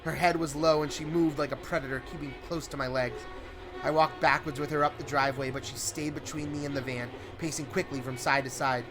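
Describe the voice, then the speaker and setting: Higher-pitched man narrating horror over music. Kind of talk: dramatic first-person scripted horror narration. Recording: prominent music under the voice.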